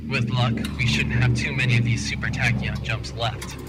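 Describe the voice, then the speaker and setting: A man playing a cunning, condescending schemer in a sci-fi audio drama, speaking in a calculating, deliberate manner. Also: mid-range voice, flat delivery, very noisy, natural voice. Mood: calculating, smug. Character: cunning, condescending male schemer plotting betrayal.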